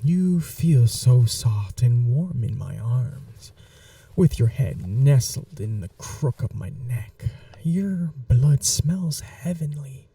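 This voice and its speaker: A woman playing a possessive, teasing, sweetly cruel vampire mistress in an ASMR roleplay, speaking in a soft, intimate murmur that slips into whispers, condescending as if to a pet. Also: rumbling low, animated, takes it slowly, distant mic, full character voice. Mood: possessive, adoring. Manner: condescending, purring, coaxing like an owner to a pet.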